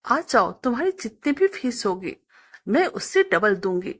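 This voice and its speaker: Woman acting a friendly, animated young married customer. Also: medium pitch, a little slow, husky. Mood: coaxing. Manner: polite, persuasive.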